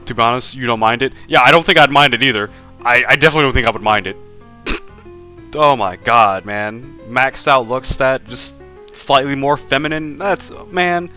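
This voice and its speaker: A man's voice: mid-range voice, moderate pace, bright, forceful tone, faint music behind. A young man drawing live on stream, chatting casually with viewers, stammering and repeating words, laughing often.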